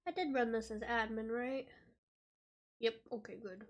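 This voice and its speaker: A woman's voice: a little high, steady tone, moderate pace. A woman streaming a game, talking casually and apologetically to her chat while congested and sniffly from a cold. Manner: chatty, apologetic, easygoing.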